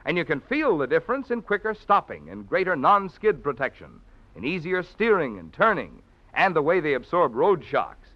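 A man's voice: fairly high pitch, sing-song, moderate pace. A man working as a 1940s radio announcer, delivering sponsor messages in a polished, emphatic sales style. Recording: dull old radio recording, faint music.

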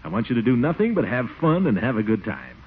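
An actor playing a fond, blustery middle-aged uncle, hesitant and theatrical, in a 1940s radio comedy. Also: male voice, mid-range voice, big pitch swings, quick pace, natural voice. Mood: reflective, affectionate. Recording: noisy muffled 1940s radio broadcast.

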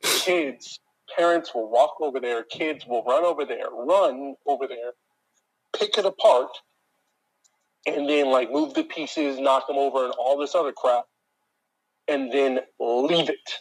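A man with a deep voice that carries a faint huskiness. He tells a story from his job as a long, exasperated rant, in loose, casual chat aimed straight at his listeners. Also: measured pace, husky, low-quality recording.

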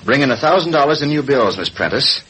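An actor playing a ruthless, corrupt politician who gives curt orders in an old radio crime drama. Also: male voice, medium pitch, animated, fast, pushed and bright, slightly rough, full character voice. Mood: grim, commanding. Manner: curt, commanding. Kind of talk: 1940s radio drama acting. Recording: noisy vintage radio broadcast recording.

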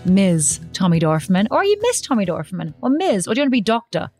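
A woman hosting an interview podcast, animated and teasing as she talks with her guest. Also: mid-range voice, quick pace, soft background music. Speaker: podcast host.

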